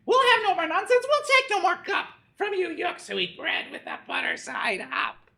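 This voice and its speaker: Taunting storybook villain creature, extremely high-pitched, snarling, wildly swooping. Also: male voice, huge pitch swings, moderate pace, gravelly, bright, forceful tone, full character voice. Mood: menacing boast. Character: snarling, mean, boastful rival creature from a storybook.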